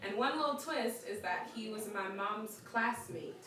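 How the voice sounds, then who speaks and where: A young woman, a musician with Ghanaian parents, chatting in a live-stream interview. She is lively, laughs often and acts out the people she quotes. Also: mid-range voice, a little slow, over music. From United States, Ghanaian parents. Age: young adult. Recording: echoey room, far from the mic, audience around.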